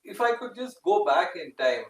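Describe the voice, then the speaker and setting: A man's voice: high-pitched, sing-song, moderate pace, recorded from a distance. A man asking interview questions politely, with hesitant phrasing. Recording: echoey room.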